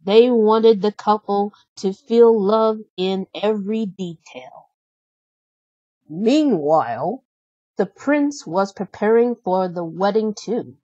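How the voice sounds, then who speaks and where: A woman narrating a children's storybook in an expressive, deliberate read-aloud manner. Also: medium pitch, no echo, lo-fi sound.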